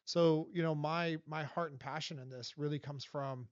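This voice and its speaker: A man from Los Angeles in his forties, talking as a guest in a casual interview, emphatic and animated. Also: on the high side, moderate pace, no echo. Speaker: guest, vendor advocate. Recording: dull dry podcast audio.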